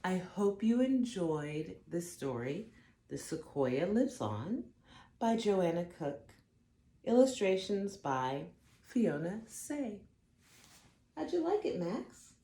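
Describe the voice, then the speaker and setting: A woman reads a children's story aloud in a low, soft-edged voice. Her delivery is dramatic and deliberate, made for young listeners. The room adds a noticeable echo around her. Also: sing-song. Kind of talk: expressive read-aloud of a picture book.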